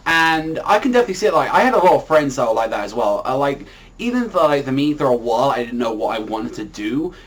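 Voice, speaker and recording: A man with a medium-pitched voice talks as an anime reviewer in a casual, unscripted style. He rambles and repeats himself, leaning on filler words as he works through his opinions. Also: big pitch swings, fast. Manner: rambling, repetitive, full of "like" as a verbal habit.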